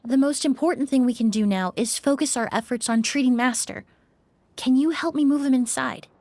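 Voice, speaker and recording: An actress playing a composed young female perfumer in a video game, speaking calmly, precisely and politely. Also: high-pitched, brisk, dry recording. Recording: soft background music.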